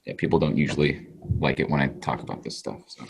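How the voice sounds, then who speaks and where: An actor playing a kind, loyal young friend in a table read, speaking informally and haltingly. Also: male voice, rumbling low, creaky, fairly bright tone, full character voice. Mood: wistful. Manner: halting, informal, with trailing phrases. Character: kind, wistful, eager boy, loyal friend. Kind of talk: actor's table read over video call. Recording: noisy video call with clicks.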